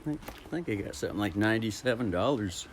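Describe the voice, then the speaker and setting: Guy with a lowish voice just rambling on his vlog, swears a lot, bit of background noise. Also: lively intonation, fast. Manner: profane, unfiltered. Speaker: vlogger. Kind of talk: casual rambling home vlog. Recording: clearly noisy ambient background.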